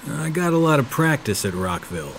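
A man playing a big, tough American ex-convict private investigator in a hardboiled period audio drama, calm, understated and deadpan. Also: fairly high pitch, fairly quick, a little hiss or hum. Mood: guarded, modest.

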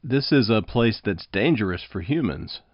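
A man's voice: on the low side, lively intonation, moderate pace, dry recording, highs cut off. A man hosting a podcast interview, speaking conversationally with prepared lead-ins to his questions.

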